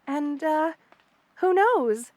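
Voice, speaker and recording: Woman doing a motherly spider queen for asmr rp, higher voice, tender n protective, really dry close sound. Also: lively intonation, very slow, dry recording, heavily acted. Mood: pleased, fond. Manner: tender, nurturing, gently regal, addresses listener with endearments. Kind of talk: ASMR roleplay, intimate character acting.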